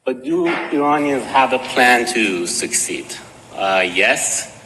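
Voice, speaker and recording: A man's voice: medium pitch, expressive, sharp tone. Man, Persian accent, conference talk, hesitant fillers, long hall reverb, faint noise. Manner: measured, with frequent uh fillers and restarts. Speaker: conference speaker. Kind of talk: conference presentation.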